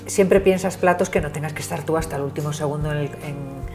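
Young woman hosting a cooking chat. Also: low voice. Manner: friendly questions, quick agreeing replies. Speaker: host. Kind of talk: casual chat on a cooking show. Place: home kitchen, indoors. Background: background music.

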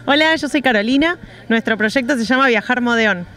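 A cheerful young Argentine woman talks about her travels on a street by parked vans. Her voice sits a touch high and she leans on "y bueno" between thoughts. She speaks into a handheld reporter mic outdoors. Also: fairly quick, a bit bright. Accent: Argentine Spanish. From Rosario, Argentina. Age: young adult. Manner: cheerful, chatty, many fillers like "y bueno". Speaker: interviewee, traveling artisan. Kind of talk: street interview.

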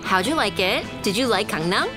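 An actress playing a cheeky, bossy, excitable young woman in a lively audio drama. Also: mid-range voice, moderate pace, over music, lightly acted. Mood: teasing, dry.

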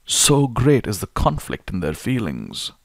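Very deep-voiced man reading an audiobook. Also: wildly swooping pitch, a little slow, vocal fry, no echo. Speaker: audiobook reader. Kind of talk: scripted audiobook narration of a philosophical essay.

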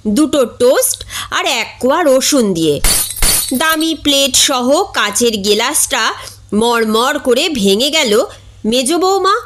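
Slow, high-voiced woman storyteller. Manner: expressive, acts out characters' dialogue. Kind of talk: dramatic reading of a comic short story.

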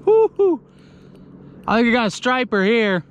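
A young man with a fairly high voice talks to camera from a small fishing boat on an open lake. His chat is loose and casual, and it turns loudly excited whenever a fish bites. A faint outdoor hiss sits behind him. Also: unhurried, lo-fi sound. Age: young adult. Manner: chatty, excitable when fish bite. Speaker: fishing vlogger. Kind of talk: casual outdoor vlog commentary. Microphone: action camera on the boat.

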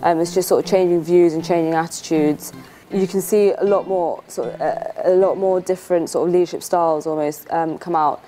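Low-voiced young woman, hesitant. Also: fairly even intonation, a little fast, dark tone. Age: 20s. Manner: hesitant, full of fillers like you know. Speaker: student and cadet leader. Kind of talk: interview answer. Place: outdoors on a campus.

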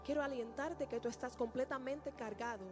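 A woman's voice: high voice, steady tone, quick pace, music in the background. A woman interpreting a sermon earnestly into a handheld microphone on a church stage.

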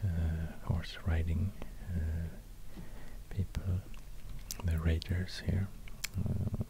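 A man with a Swedish accent, a native Swedish speaker, speaking soft ASMR narration in a halting, musing, breathy near-whisper. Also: deep voice, level tone. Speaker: ASMR video creator. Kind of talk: soft-spoken ASMR narration while drawing. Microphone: close binaural microphone. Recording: audible noise, soft clicks.

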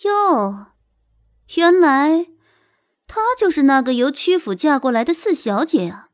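A woman's voice: high voice, big pitch swings, measured pace, no echo, clean studio sound. A woman playing a haughty young concubine in an audio drama, mocking with falsely sweet, spiteful politeness. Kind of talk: Chinese audio drama acting.

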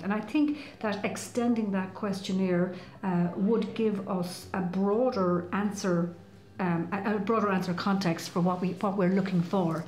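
A woman's voice: mid-range voice, a little mellow. A woman in her sixties giving a considered interview answer in a small office. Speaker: college staff member. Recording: roomy, echoing office.

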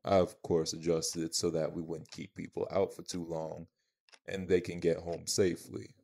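An actor playing an imposing, protective vampire man in an audio roleplay, dry-witted, haughty and measured, with a gravelly voice. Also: low-pitched, flat delivery, mellow.

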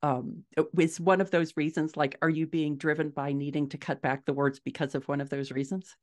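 Middle aged american woman w a low voice, chatting thru her answers like a podcast guest, kinda thinking out loud. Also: steady tone, brisk. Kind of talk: conversational interview answers. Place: living room at home, indoors, on a video call.

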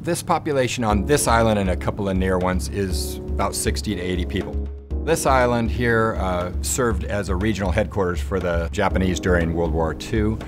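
Low-voiced man narrating over guitar music. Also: moderate pace. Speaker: charity ministry narrator. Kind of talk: unscripted documentary interview narration. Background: guitar music behind the voice.

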